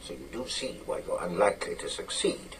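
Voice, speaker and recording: An actor playing an authoritative older British intelligence chief in a radio drama, gruff, clipped and matter-of-fact. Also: male voice, low voice, big pitch swings, fairly quick, a bit bright, recorded from a distance, slight background noise. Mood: dry, commanding.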